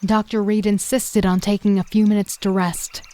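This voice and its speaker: Casting: woman narrator, first-person horror, measured, grave. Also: medium pitch, little pitch movement, dark tone. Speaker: narrator and lead character.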